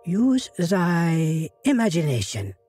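A man's voice: a little high, sing-song, slow, dark tone, soft background music, exaggerated voice. A man voicing a bold disguised woman soldier. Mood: hushed. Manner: commanding, old-fashioned speech.

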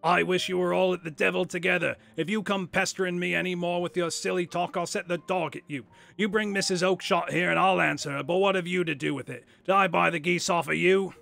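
A man voicing a surly, fierce market poultry seller in an audiobook, with a loud, impatient manner. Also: on the high side, animated, unhurried, voice pushed up, exaggerated voice. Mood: furious. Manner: shouting, gruffly impatient.

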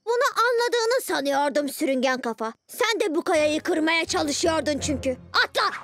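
A woman's voice: high voice, lively intonation, normal speed. A woman voicing a boastful, bossy young boy ninja villain who taunts and commands in a cartoon dub. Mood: taunting, then commanding. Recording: music under the voice.

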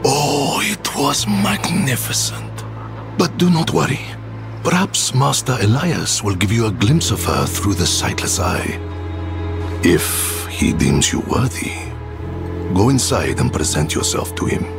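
An actor playing a reverent, officious cultist gatekeeper in a video game, talking in a grandiose way. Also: male voice, medium pitch, big pitch swings, measured pace, creaky, music in the background. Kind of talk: video game character dialogue.

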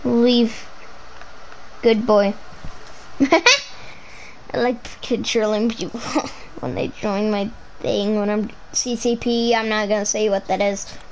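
High, gravelly-voiced woman gaming streamer. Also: slight background noise, poor audio. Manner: rambling, playful, hums nonsense syllables. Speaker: gaming YouTuber. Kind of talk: casual gameplay commentary.

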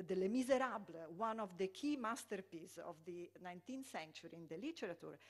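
Italian woman lecturing with many fillers. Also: mid-range voice. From Italy. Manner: hesitant, many fillers and restarts. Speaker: public health lecturer. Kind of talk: conference lecture with slides.

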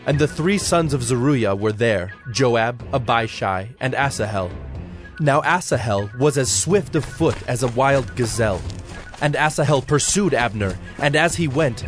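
A man narrating a dramatized audio Bible in a measured, solemn storytelling style. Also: mid-range voice, animated. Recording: faint music behind narration.

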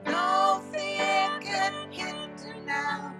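A woman praise leader singing a worship song slowly. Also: very high voice, big pitch swings. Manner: sustained, slow singing. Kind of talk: worship singing with spoken prayer. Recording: with loud band music.

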